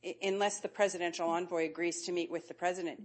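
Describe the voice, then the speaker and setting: Lower-voiced woman asking a long question. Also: fairly even intonation, fast. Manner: long winding question, friendly. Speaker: audience questioner. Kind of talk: question at a panel event.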